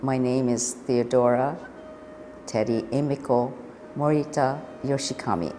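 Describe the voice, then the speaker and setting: A woman in her seventies speaking calmly and reflectively in a documentary interview. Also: a bass voice, measured pace, noisy background. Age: 70s. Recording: reverberant, with music underneath.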